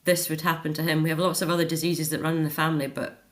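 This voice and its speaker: A woman's voice: deep voice, level tone, fast. A middle-aged woman, speaking as an interview guest in a reflective, articulate, conversational way.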